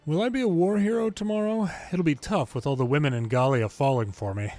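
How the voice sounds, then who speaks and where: A man's voice: on the high side, brisk, darkish tone, no echo, lightly acted. A man voicing an eager, boastful young soldier who daydreams of glory, in a war game over music. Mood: giddy boasting.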